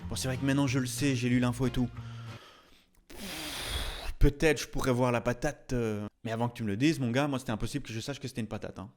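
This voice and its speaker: A man's voice: mid-range voice, fairly even intonation, fast talker, darkish tone. A chatty man hosting a video with jokey, casual commentary and theatrical, mock-indignant asides. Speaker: YouTube host, tattoo artist. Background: music behind the voice.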